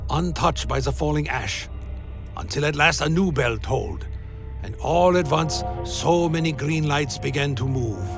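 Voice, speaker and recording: An actor playing a weary undead vampire wanderer, telling his journey in solemn, literary phrasing for a dark fantasy radio play. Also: a little high. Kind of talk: dramatic first-person scripted narration, dark fantasy radio play. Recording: music behind the voice.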